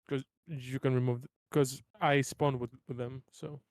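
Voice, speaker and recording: A man's voice: mid-range voice, flat delivery, moderate pace, dry recording, studio quality. A man chatting casually as a player in a tabletop roleplaying game. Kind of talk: casual chat.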